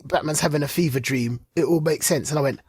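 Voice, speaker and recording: A man with a husky voice, chatting casually as a podcast host and reviewer. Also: fairly high pitch, quick pace, a little mellow, dry recording, clean studio sound. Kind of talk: casual chat, comics review.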